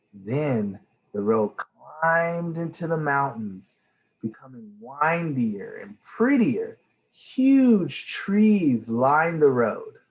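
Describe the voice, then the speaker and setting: A man reading a storybook aloud for young children in an enthusiastic, expressive way. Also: mid-range voice, rough recording. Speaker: read-aloud reader for children.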